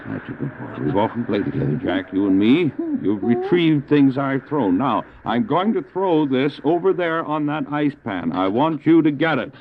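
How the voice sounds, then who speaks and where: A man's voice: medium pitch, sing-song, moderate pace, hardly any pauses, a little hiss or hum. A man acting an anxious, determined dog-sled driver in a radio drama, telling his story earnestly and urgently. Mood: coaxing, commanding. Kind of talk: first-person dramatic narration, radio drama. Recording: tinny narrow-band old cassette, music behind.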